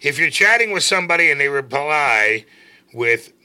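A middle-aged man co-hosting a casual show, reading slang out loud with jokes and a mock Irish accent. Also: fairly high pitch, lively intonation, normal speed. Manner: joking, puts on a playful Irish accent for the sample sentences. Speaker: co-host.

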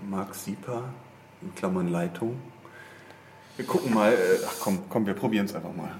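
A man's voice: low-pitched, normal speed, darkish tone. A man hosting a podcast on location, chatting casually and playfully with a hesitant, informal delivery. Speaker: podcast host. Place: indoor office corridors and rooms. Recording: roomy echo with faint background noise.

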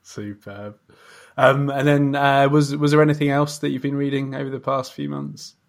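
A man's voice: medium pitch, fairly even intonation, moderate pace. A man hosting a casual interview, responding in short, agreeable and encouraging phrases. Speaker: podcast host, interviewer.

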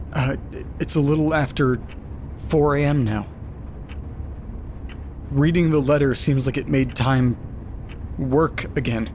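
A man's voice: medium pitch, a little mellow, slight background noise, natural voice. An actor playing a bewildered, sardonic, sweary man alone at night, muttering hesitantly in an audio drama monologue. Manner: hesitant, muttering, dryly sarcastic. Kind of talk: audio drama monologue, recorded-diary delivery.

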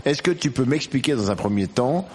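A man's voice: mid-range voice, expressive, a little fast, a little mellow, slightly rough. A man hosting a casual cooking interview, chatting in a rambling, teasing, playful way. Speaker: host interviewing a cook. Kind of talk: casual, joking chat. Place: indoors, in his own home kitchen.

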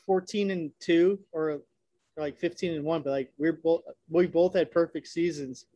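A young man with a slightly raised pitch, talking loosely, cursing and breaking into laughter between stories. His voice sounds dry and close, with no room around it. He comes across as a casual podcast co-host. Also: fairly even intonation, moderate pace, mellow, dry recording, highs cut off. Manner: rambling, laughs often, swears freely. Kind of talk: casual chat.

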